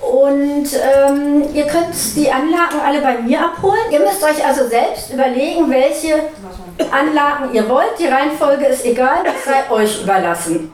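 A woman's voice: high voice, moderate pace. A woman explaining game rules step by step to a group as its leader. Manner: step-by-step, instructing. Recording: echoey room, distant microphone.

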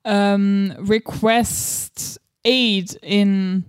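Woman, smooth voice, dry sound, searches for words. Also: mid-range voice, fairly even intonation, drawn-out words, no echo. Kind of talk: casual discussion.

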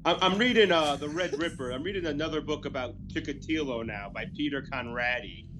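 A man with a stuffy, nasal voice from a recent cold, chatting in a deadpan, matter-of-fact way. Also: a little high, brisk. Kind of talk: casual chat.

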